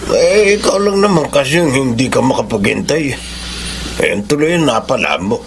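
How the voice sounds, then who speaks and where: An older Filipino father in a radio drama, teasing and meddling. His gravelly voice swings up and down over clear background noise. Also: medium pitch, lively intonation, normal speed. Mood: teasing, chiding.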